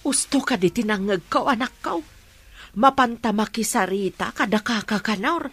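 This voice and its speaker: A woman's voice: a little high, moderate pace, husky, soft background music. A Filipino woman playing a middle-aged, worried mother who cautions her grown son in a radio drama. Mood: fretful, resigned. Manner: fretful, cautioning. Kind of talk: Ilocano radio drama acting.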